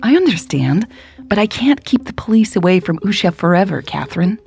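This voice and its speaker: A woman's voice: mid-range voice, big pitch swings, a little fast, vocal fry, a little mellow, faint music behind. A woman playing a tired, dry-witted woman, sighing and under pressure, in an audio drama. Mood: firm, dry. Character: Maya, weary dry-witted woman, pressured but loyal.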